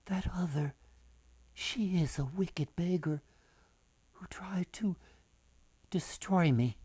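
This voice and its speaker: A man voicing a gentle, wronged young maiden in a storybook tale, hushed and breathy. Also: high voice, slow, dry recording, full character voice. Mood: hushed, wounded.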